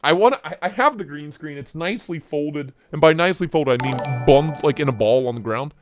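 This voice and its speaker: Lively joking man hosting a stream. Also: fairly high pitch, brisk, music in the background. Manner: joking, greets chat viewers by name. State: losing his voice. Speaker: live stream host. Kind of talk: casual live stream chat.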